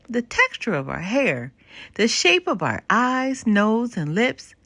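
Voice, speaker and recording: A kindly storybook grandmother, as a woman reads her, full of delight and encouragement. Her voice is bright and swoops expressively as she gently teaches, stressing her words. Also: mid-range voice, dry recording, heavily acted. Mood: celebratory. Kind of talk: children's storybook read-aloud.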